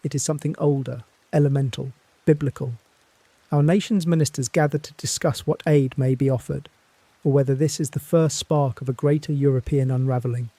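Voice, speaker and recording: An actor playing an earnest foreign radio correspondent, speaking in a solemn, measured way. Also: male voice, mid-range voice, fairly even intonation, warm tone, dry recording, close to an everyday voice. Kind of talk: dramatic period radio reporting.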